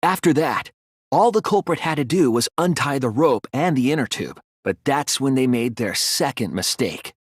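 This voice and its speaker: A man voicing an earnest, determined young student detective in a dramatic game dub, deliberate and analytical with a slightly husky voice. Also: medium pitch, expressive, no echo, clean studio sound. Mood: grave, explanatory. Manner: deliberate, earnest, explanatory delivery with dramatic emphasis. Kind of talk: dramatic English game dub, deductive monologue.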